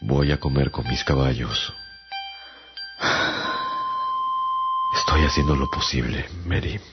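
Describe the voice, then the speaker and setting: A man playing a weary, haunted traveller estranged from humankind in a radio drama, speaking gravely and haltingly with a gravelly voice. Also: a bass voice, fairly even intonation. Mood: withdrawn, wounded. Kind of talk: Spanish-language radio drama, first-person narration and dialogue. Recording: dull lo-fi radio production. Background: dramatic music.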